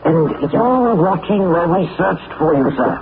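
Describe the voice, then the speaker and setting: An actor playing a flustered, deferential sidekick who talks in a stammering, polite way. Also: male voice, sits high, lively intonation, normal speed, vocal fry. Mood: bewildered. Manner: stammering, polite, keeps saying "sir". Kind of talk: 1940s radio acting. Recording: tinny, hissy 1940s radio broadcast.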